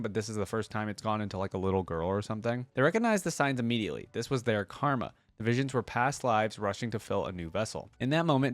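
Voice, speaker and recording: A man's voice: lowish voice, dry recording. Man doing casual reaction commentary, dry close mic. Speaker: YouTube host reacting to game lore. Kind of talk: casual reaction commentary mixed with reading lore aloud.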